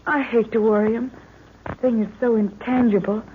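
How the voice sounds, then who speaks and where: Actress as high-voiced anxious radio heroine. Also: female voice, steady tone, normal speed, natural voice. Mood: troubled, confiding. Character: anxious, affectionate young heroine, professor's daughter. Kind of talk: 1940s radio acting. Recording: muffled, noisy old radio recording.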